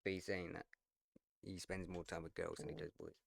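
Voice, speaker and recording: A man's voice: low voice, level tone, brisk, creaky. A man giving casual commentary as a reaction video host.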